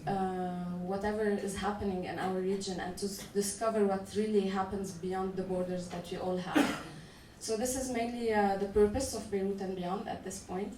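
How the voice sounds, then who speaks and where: A woman speaking on a conference panel as a festival organizer, measured and halting, with frequent "uh" restarts. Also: mid-range voice, steady tone. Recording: echoey room, voice from a distance, faint noise.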